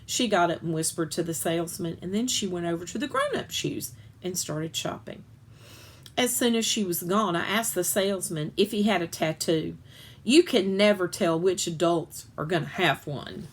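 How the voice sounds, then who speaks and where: A woman reads a first-person children's story aloud in an expressive narrating style. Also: lowish voice, moderate pace, slightly rough. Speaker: story reader.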